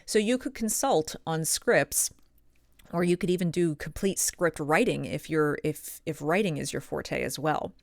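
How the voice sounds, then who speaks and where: A woman's voice: sits low, a little slow, creaky, no echo. A woman giving instructional advice as a channel host and coach, speaking in an emphatic, encouraging manner into a close microphone. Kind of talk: instructional advice talk to viewers.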